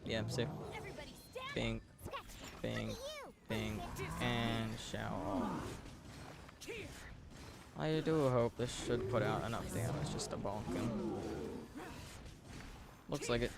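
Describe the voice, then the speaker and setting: A man giving casual live video game commentary with a dry, deadpan, self-deprecating manner. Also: mid-range voice, slow, gravelly, pauses often, over loud music.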